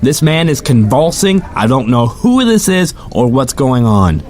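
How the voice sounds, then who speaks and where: A man's voice: mid-range voice, sing-song, unhurried, heavy background noise. A man playing a chatty, sardonic rideshare driver, telling his story in the first person for an audio drama. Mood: panicked, urgent. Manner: casual, sardonic, conversational. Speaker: narrator and lead character.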